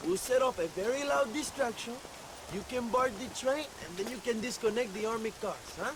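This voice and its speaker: An actor playing a grandiose, self-important Mexican revolutionary leader in a game cutscene, with a Mexican accent and theatrical, halting delivery. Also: male voice, very high voice, noisy background. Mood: conspiratorial. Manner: pompous, theatrical, halting repeats. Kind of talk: video game cutscene acting.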